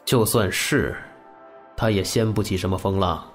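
Man acting a low-voiced, composed gang boss. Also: moderate pace, music in the background. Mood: musing, cold. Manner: terse, composed, authoritative. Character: cool, commanding male gang boss, unhurried and calculating. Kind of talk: audio drama acting.

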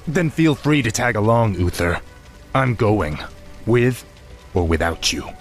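An actor playing a proud young warrior prince, defiant and imperious, in a video game cutscene. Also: male voice, lowish voice, big pitch swings, measured pace, soft background music.

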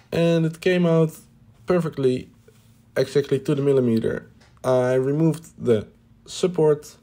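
Mellow-voiced man narrating his hobby build. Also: medium pitch. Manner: hesitant, many "uh" fillers, plain upbeat remarks. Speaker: hobby builder showing his home cockpit project. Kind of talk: casual voice-over narration.

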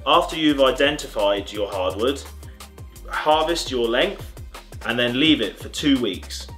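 A man's voice: medium pitch, animated, unhurried, a bit bright, soft background music. A young British man presenting an upbeat step-by-step tutorial outdoors in a garden. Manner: upbeat, clear instructional delivery.

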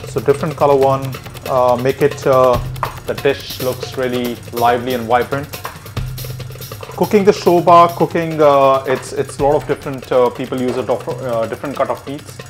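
A male chef in his thirties walks through a recipe in a demonstration kitchen. His voice is medium in pitch, and light music plays behind it with some sizzling from the pan. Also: steady tone, normal speed. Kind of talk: cooking demonstration.

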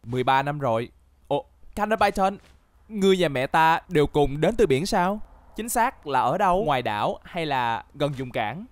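A man voicing an earnest, easily flustered young boy hero in a cartoon dub, expressive and chatty. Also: a little high, normal speed, no echo, close to an everyday voice. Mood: earnest, curious. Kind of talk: Vietnamese cartoon dubbing.

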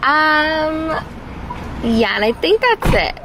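High-voiced young woman ordering slowly. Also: sing-song. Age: young adult. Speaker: drive-thru customer. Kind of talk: placing an order. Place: at a drive-thru. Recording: clear vehicle noise.

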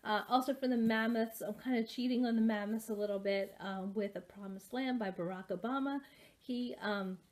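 A woman's voice: fairly high pitch, fairly even intonation, normal speed, a little mellow. Casting: woman, 40s, casual book vlogger, chatty and rambling, full of ums, webcam at home. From United States. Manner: rambling, many fillers and restarts. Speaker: booktuber. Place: home study with bookshelves, indoors. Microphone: webcam at desk.

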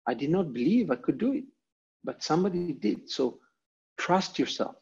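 A man's voice: a little high, big pitch swings, normal speed, creaky, darkish tone, slightly rough. An Italian man with an Italian accent presenting a motivational online lecture, speaking in emphatic, repeated short phrases over a video call. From Italy. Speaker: guest presenter. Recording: dull, lo-fi video call audio.

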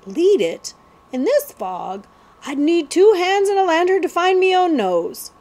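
A woman's voice: very high voice, very animated, a little slow, a little mellow, voice pushed up, exaggerated voice. A woman voicing a scrappy, scheming street boy in a storybook, with plain colloquial talk. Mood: grumbling.

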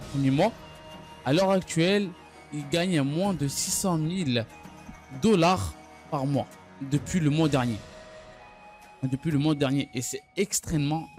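A man giving an unscripted gaming news recap, speaking haltingly and often stumbling or repeating his phrases. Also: fairly high pitch, a little mellow. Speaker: gaming video creator. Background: background music.

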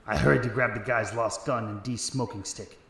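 A man's voice: medium pitch, steady tone, brisk, vocal fry, close to an everyday voice. Man acting an echoey-sounding hard-boiled noir narrator. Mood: tense recounting. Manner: terse, dramatic. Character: hard-boiled male noir detective narrating his story. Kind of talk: first-person scripted noir narration. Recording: echoey room, music underneath. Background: music.

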